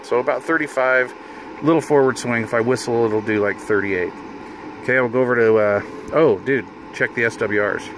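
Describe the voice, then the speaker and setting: A man's voice: mid-range voice, normal speed. Man chatting over noticeable car noise. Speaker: hobbyist video host demonstrating radio gear. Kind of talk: casual hands-on demonstration. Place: inside a parked vehicle.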